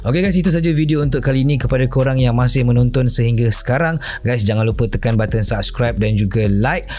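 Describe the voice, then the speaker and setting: A man's voice: medium pitch, fast, a little mellow. A young man with a Malaysian Malay accent, hosting a casual reaction video and chatting with frequent hesitant fillers.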